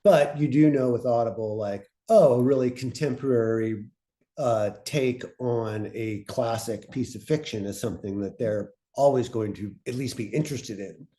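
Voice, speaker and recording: A man speaking informally as a panelist, thoughtful and halting, often breaking off to rephrase his point. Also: mid-range voice, dark tone. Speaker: panelist presenting on podcast pitching.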